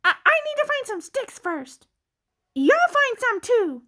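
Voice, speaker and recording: A woman voicing a childlike, excitable little sheep in a children's puppet show, with theatrical exclamations. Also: extremely high pitch, measured pace, exaggerated voice. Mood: excited, eager.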